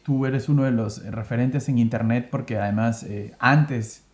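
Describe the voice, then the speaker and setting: A man's voice: mid-range voice, fairly even intonation, moderate pace. A man in his thirties hosting a casual interview, asking questions and rephrasing them as he goes. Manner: conversational, asks and rephrases questions. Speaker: host, interviewer. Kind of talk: casual interview, plus read sponsor ad.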